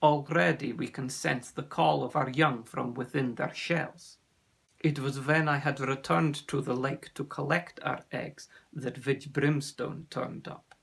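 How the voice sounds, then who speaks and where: Man doing a proud old-world storybook sea serpent dad, gravelly, solemn, measured. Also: medium pitch, steady tone, fairly bright tone, heavily acted. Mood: grave, urgent.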